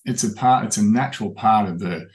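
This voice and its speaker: A middle-aged man, a life coach calmly talking to camera about personal growth, recorded on a webcam in a small room at home. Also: on the low side, fairly quick, poor audio. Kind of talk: reflective coaching talk to camera.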